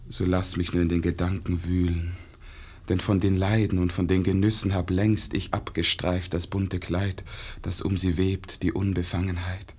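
An actor playing a melancholy, grief-numbed painter's pupil, declaiming elegiac verse in a 1950s radio drama. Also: male voice, sits low, flat delivery, moderate pace, darkish tone, slightly rough. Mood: anguished.

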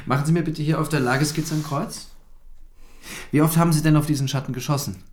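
A man playing a dogged prosecutor in a radio drama, measured when narrating and insistent when questioning. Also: on the high side, steady tone, dry recording, lightly acted. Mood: probing, insistent. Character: dogged male prosecutor narrating his own investigation.

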